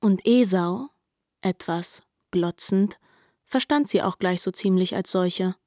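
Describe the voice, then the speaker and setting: A woman's voice: on the low side, slow pace, a little mellow, dry recording. Woman storyteller, dry close sound. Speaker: narrator. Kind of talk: literary radio drama narration.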